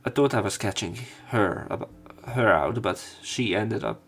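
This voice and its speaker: Guy reading a visual novel out loud, creaky lowish voice, casual asides. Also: animated, measured pace. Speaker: let's play host. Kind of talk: narrating visual novel aloud with casual commentary.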